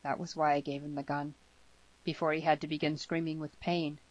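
A woman voicing a grave, earnest young man in an audiobook, speaking gently and solemnly. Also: sits low, little pitch movement. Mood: haunted, solemn. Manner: slow, gentle, solemn, plain-spoken. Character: grave, earnest, gentle twenty-two-year-old man. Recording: slightly muffled lo-fi audio.

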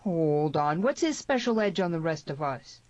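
A woman voicing a gruff, protective, hot-headed cowboy in a western audiobook. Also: very animated, normal speed, darkish tone, no room sound, muffled recording. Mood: urgent. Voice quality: deep, broken.

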